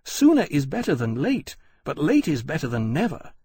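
A man's voice: fairly high pitch, wildly swooping pitch, normal speed, a little mellow, breathy edge, dry recording, voice pushed up, heavily acted. A man voicing a blunt, dismissive and sardonic British sea captain in an audiobook. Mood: scoffing.

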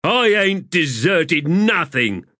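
A male narrator voicing a stubborn, surly soldier who growls out slow, drawled slang. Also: mid-range voice, very animated, bright, forceful tone, no echo, studio quality, voice pushed up, exaggerated voice.

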